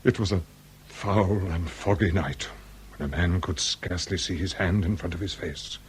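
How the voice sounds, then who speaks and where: A man's voice: low voice, normal speed, slight background noise, natural voice. A man playing a grave lord in his sixties, speaking formally and ornately in a period radio drama. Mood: ominous. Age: 60s. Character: grave aristocratic lord past sixty, confessing a dark secret.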